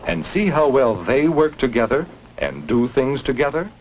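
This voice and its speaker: A man's voice: mid-range voice, very animated, moderate pace, lo-fi sound. A man narrating a 1950s educational film for children, speaking in simple instructive phrases and leading questions. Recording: noisy, band-limited 1950s film soundtrack.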